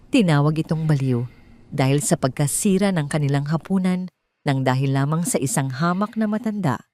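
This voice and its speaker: A woman with a Filipino accent narrating a scripted dramatic story in a measured, formal storytelling manner. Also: deep voice, darkish tone.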